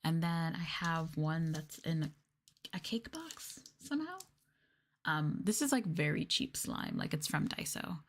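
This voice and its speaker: A woman speaking softly and intimately in a casual ASMR chat, at times dropping to a whisper. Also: low-pitched, lively intonation, a little slow. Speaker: streamer, VTuber.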